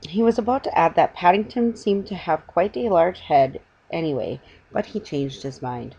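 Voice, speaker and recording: Middle-aged female storybook reader, husky tone, very animated pitch, homely amateur delivery. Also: moderate pace, dull audio. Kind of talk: reading a children's story aloud. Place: room at home, indoors.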